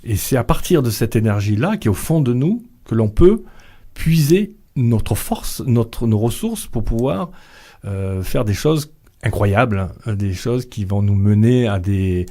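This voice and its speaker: Man, middle-aged, low voice, mellow dark tone, slow hesitant radio guest. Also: expressive. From Vietnamese family background. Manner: slow, hesitant, many fillers and restarts. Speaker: author and guest. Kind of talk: radio interview conversation.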